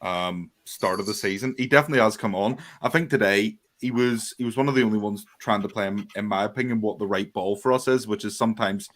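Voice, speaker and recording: A man with a Northern Irish accent talks in a low, unhurried register. He speaks earnestly as a podcast guest, often stopping and restarting his sentences. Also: fairly bright tone. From Northern Ireland. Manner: earnest, hesitant with many restarts. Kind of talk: casual chat.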